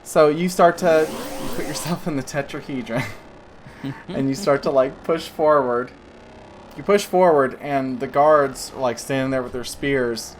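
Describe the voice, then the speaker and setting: A woman's voice: rumbling low, slight background noise, rough recording. A woman narrating a tabletop game as game master, loose and giggly with lots of fillers.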